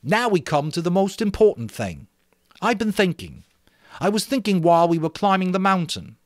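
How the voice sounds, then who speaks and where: An earnest young boy leader acted by a man addresses his group in an audiobook story. His pitch is raised and swings freely as he stresses his points with emphatic conviction. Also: big pitch swings, normal speed, dry recording, clean studio sound. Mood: hopeful, rallying. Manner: emphatic, speech-making to a group. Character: earnest young schoolboy leader rallying the others. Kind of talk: dramatic audiobook character reading.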